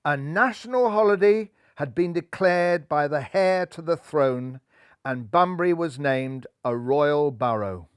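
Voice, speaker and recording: An older man reading a children's picture book aloud in a lively way, seated indoors with a clip-on mic. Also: a little high, unhurried, clear, resonant tone, no room sound, studio quality. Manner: lively, does voices for characters. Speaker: storybook reader. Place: indoor room full of cuddly toys.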